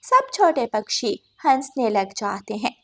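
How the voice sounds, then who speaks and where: A woman's voice: a little high, huge pitch swings, unhurried, pushed and bright. A woman narrating a scripted cartoon bird story.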